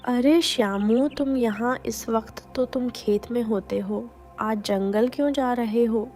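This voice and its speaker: A woman voicing a gentle, devoted young village girl who speaks earnestly and encouragingly, in a cartoon story. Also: a little high, takes it slowly, soft background music. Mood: concerned.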